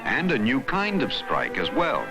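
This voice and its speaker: A man's voice: mid-range voice, huge pitch swings, a little slow. A man with an American accent reads scripted documentary narration in a dramatic, emphatic newsreel style. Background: background music.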